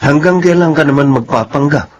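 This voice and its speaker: A man's voice: fairly high pitch, brisk, close to an everyday voice. A man playing a teasing young man who questions and chides his partner in a radio drama. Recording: dull lo-fi radio drama recording.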